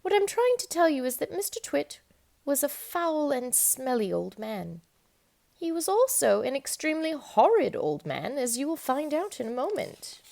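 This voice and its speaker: A woman reading a children's book aloud in an expressive, emphatic storytelling manner. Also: high voice, moderate pace, vocal fry. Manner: animated, emphatic storytelling with dramatic stresses. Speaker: storybook reader.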